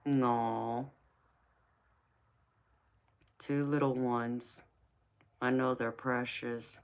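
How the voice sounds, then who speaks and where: Woman in her forties, tarot reader, relaxed and slow-spoken, chatting back to viewer comments. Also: rumbling low. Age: 40s. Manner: easygoing, answers viewer comments by name. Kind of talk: casual live-stream chat with viewers.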